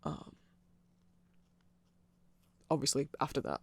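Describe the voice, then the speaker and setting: A man's voice: mid-range voice, sing-song, slow, gravelly, breathy edge, no room sound. A man giving a rambling, nerdy, informal ASMR explainer, often hesitating and interrupting himself. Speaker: ASMR creator explaining band lore.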